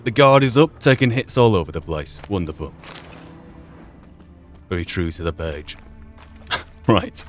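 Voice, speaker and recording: A man's voice: low voice, animated, measured pace, long pauses, faint music behind. A man doing wry, understated let's play commentary, sometimes narrating the game as a role-play. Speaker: gaming channel host.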